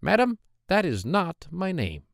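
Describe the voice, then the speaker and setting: Man doing a high, sing-song little hobgoblin. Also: wildly swooping pitch, measured pace, gravelly, no echo, clean studio sound, heavily acted. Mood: smug. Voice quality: high-pitched, lilting. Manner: sing-song, wheedling, theatrical. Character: droll little male hobgoblin dwarf, sly bargainer. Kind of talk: storybook character voice.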